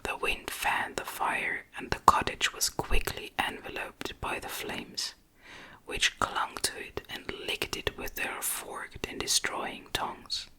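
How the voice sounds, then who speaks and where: A woman narrates classic gothic fiction entirely in a breathy whisper. Her delivery is gentle and very slow, with crisp, bright sibilance, made for ASMR listening. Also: low-quality recording. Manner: gentle, measured, literary storytelling. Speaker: audiobook narrator.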